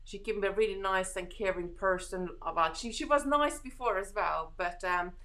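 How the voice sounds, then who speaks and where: A woman's voice: medium pitch, normal speed, fairly bright tone. A woman with a Hungarian accent hosting a casual live chat from home, reading viewer comments and answering with a reassuring, rambling manner full of fillers and restarts. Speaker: host of a beading live stream.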